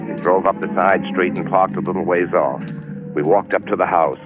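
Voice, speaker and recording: An actor playing a terse, scheming husband who narrates a murder mystery in old radio acting style. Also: male voice, sits low, fairly even intonation, brisk, dull audio, natural voice. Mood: tense, matter-of-fact. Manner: terse, hard-boiled. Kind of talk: 1940s radio acting, first-person crime narration. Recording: old radio recording with music behind.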